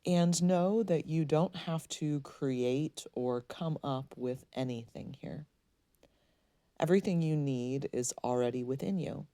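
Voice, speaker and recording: A woman leading a guided meditation, speaking in a soothing, gently instructing way with unhurried pauses. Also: sits low, no echo, clean studio sound.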